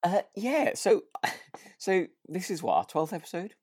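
A man's voice: high-pitched, big pitch swings, a little slow, darkish tone, breathy edge, dry recording, clean studio sound. A British man co-hosting a podcast, chatting casually and telling stories in a self-deprecating, hesitant way. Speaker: podcast co-host. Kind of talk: casual anecdotal chat.

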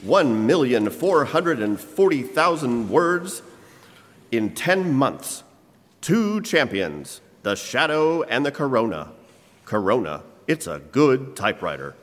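A man's voice: medium pitch, sing-song, slow pace, a bit bright. A man playing an old-time radio announcer, reading script with grand, emphatic showmanship. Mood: grand, promotional. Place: live performance in a church hall. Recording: live stage in reverberant hall.

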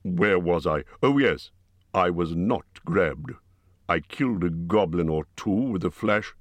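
Man playing an old wizard, low and calm, slyly tactful, dry close sound. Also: measured pace, no room sound.

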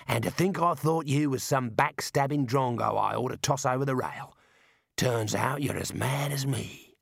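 Male narrator doing a wild, matey outback man; gruff, low, unhurried. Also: dry recording, clean studio sound. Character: rough Australian outback man, cheerful and wild.

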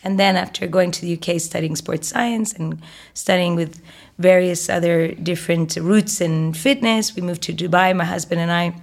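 A woman in her forties, originally from Egypt, speaks as an interview guest. Her voice sits a little low for a woman. She explains things fluently and at length, with frequent ums and uhs. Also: fairly even intonation, normal speed, vocal fry. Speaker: guest, fitness educator. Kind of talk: interview conversation.

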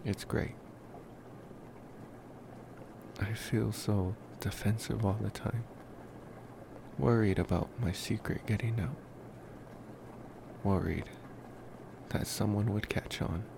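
A man playing a devoted, protective young college vampire in an intimate ASMR roleplay, speaking softly with musing pauses. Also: creaky. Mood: relaxed, confiding. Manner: soft, unhurried, musing with long pauses. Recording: noisy, steady background rumble.

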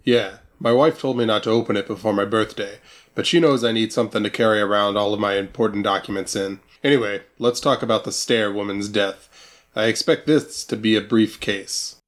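A man playing a gruffly authoritative veteran police chief in a comic noir radio play, brisk and deadpan. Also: on the low side, close to an everyday voice.

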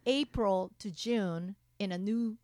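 A woman's voice: medium pitch, slow, a little mellow, no echo, clean studio sound. A young woman whose first language is Japanese, a podcast guest telling stories in a playful, chatty way with many fillers and restarts. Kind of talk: casual interview chat.

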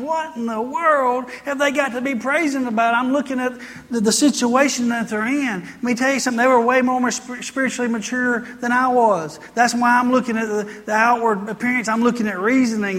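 A woman's voice: fairly high pitch, a bit bright. An American woman preaching a sermon to a congregation in an emphatic, repetitive, exhorting manner. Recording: reverberant hall sound.

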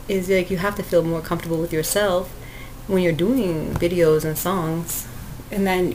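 A woman talks alone into her podcast mic in a sweet, cute voice that sits slightly low. Her speech rambles along with fillers, restarts and little laughs. A faint hum of background noise runs under the recording. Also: low-quality recording. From Canada. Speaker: podcast host. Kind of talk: casual solo podcast talk.

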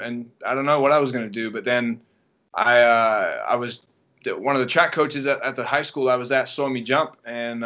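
A man in his thirties from England with an English accent, a track coach relaxed and rambling as he answers interview questions. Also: mid-range voice, dull audio. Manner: relaxed storytelling with many ums and restarts. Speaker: guest track and field coach. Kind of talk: casual podcast interview.

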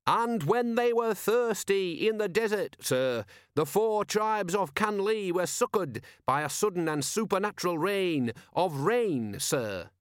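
A man's voice: a little high, animated, normal speed, fairly bright tone, no room sound, studio quality, voice pushed up. A man voicing an earnest, pious constable who speaks firmly and with formal deference, in an audiobook. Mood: fervent conviction. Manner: firm, emphatic, respectful.

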